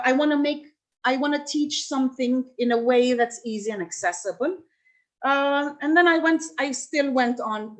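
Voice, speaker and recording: A middle-aged woman from Lebanon giving a reflective workshop talk, with frequent restarts and fillers as she thinks aloud. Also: a little high. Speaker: workshop speaker.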